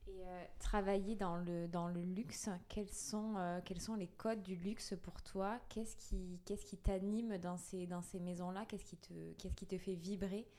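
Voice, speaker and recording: A woman's voice: fairly low pitch, fairly even intonation, a little slow, hardly any pauses, warm tone. A woman co-hosting a podcast, presenting the show and interviewing her guest. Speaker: podcast co-host, boutique founder.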